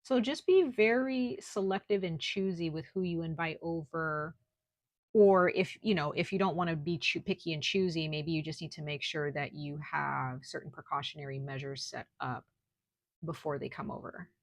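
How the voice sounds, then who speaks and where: Brief: low, gravelly-voiced woman doing a casual tarot reading, dry close sound, soft delivery that keeps checking in with "okay?". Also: normal speed, no echo. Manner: speaks softly at times, lots of "like" and "okay?". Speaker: tarot reader. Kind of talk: casual spiritual reading to camera. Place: at home, noise outside.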